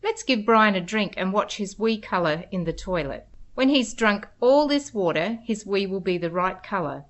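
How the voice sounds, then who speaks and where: A woman's voice: medium pitch, expressive, moderate pace, hardly any pauses. A woman reading scripted educational narration for preschoolers in simple, instructive phrases. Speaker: narrator of children's educational material.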